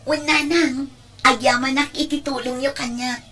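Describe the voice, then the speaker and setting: A woman acting a generous adult daughter in a radio drama. Her voice is high, and she sounds affectionate, dutiful and reassuring. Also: steady tone, unhurried, sharp tone. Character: generous, dutiful grown daughter working away from home.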